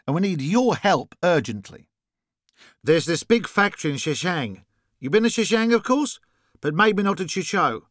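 A man's voice: sits high, sing-song, moderate pace, a bit bright, no room sound, clean studio sound, pitched above the performer's own voice. A man voicing a brash, impatient Australian businesswoman in an audiobook, abrupt and exasperated. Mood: brisk, urgent. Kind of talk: audiobook character dialogue.

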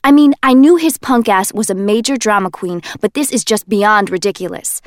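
A woman reading an audiobook voices a brash, joking young man who gets loud, crude and exasperated. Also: mid-range voice, normal speed, no echo, studio quality, lightly acted. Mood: indignant. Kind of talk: audiobook character voice.

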